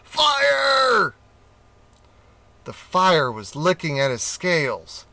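Man reading a children's storybook, lively pitch, unhurried, bright tone. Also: no echo. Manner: slow, expressive reading with character voices. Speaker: narrator reading a children's picture book. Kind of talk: storybook read-aloud.

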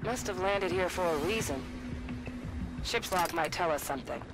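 A woman's voice: lowish voice, lively intonation, normal speed, low-quality recording. Tough, practical woman soldier. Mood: matter-of-fact. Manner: terse, matter-of-fact. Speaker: game character, squad soldier. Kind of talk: video game voice acting. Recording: loud music and game noise behind.

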